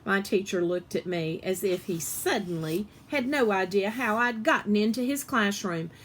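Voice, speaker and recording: A woman reading a children's story aloud in an animated, expressive way, with emphasis on the dialogue. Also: medium pitch, moderate pace, a little hiss or hum.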